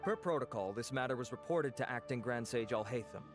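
A calm, formal male investigator played by an actor in a video game. His mid-range voice is polite and measured, official in tone, with faint music behind it. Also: mid-range voice, lightly acted. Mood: formal, briefing.

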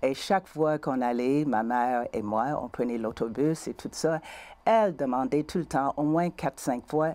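Older woman from the US Midwest speaking French with an American English accent. Her voice is mellow and dark, and she talks in a hesitant way with many fillers. It was recorded on a lapel mic in a small TV studio. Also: rumbling low, moderate pace. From United States (Missouri). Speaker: interview guest. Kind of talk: conversational interview.

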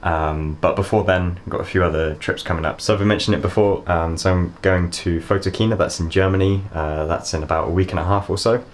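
Young uk guy vlogging with the cam at arm's length, lowish voice, lots of noise behind. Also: fairly quick, few pauses. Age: young adult. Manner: chatty, laughs often, swears when caught in the rain. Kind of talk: casual travel vlog. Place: outdoors in windy hills, in a car, in a rented room. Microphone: handheld camera at arm's length.

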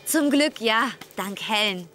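A woman playing a good-natured adult female firefighter in a German cartoon dub, friendly and expressive. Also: fairly high pitch, measured pace. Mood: relieved. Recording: faint music behind.

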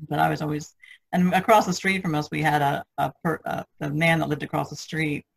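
Gravelly, husky woman in casual chat. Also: deep voice, little pitch movement, fairly quick. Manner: laughs readily. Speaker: artist on a podcast panel. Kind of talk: casual chat, personal anecdotes. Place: online video conference call.

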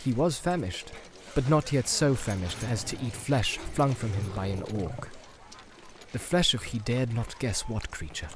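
A man's voice: mid-range voice, slight background noise. A man narrating a fantasy novel in a dramatic, scripted audiobook style. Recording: faint ambient soundscape behind.

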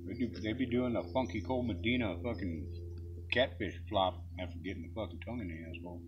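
A man's voice: on the low side, fast talker, breathy edge, muffled recording. A man swearing constantly as he trades crude jokes outdoors on a forest trail, recorded on a handheld phone. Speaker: joker in a prank video. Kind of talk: crude casual banter.

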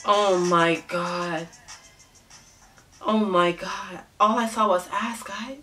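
A young woman in her twenties talks straight to the camera from a small bedroom, her voice bright and sharp, with a slight Nigerian accent. She laughs often and breaks into animated exclamations as she reacts to a music video. Faint music plays softly under her voice. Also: mid-range voice, slow pace. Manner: giggly, exclamatory, animated commentary with frequent laughs. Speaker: YouTube reactor.

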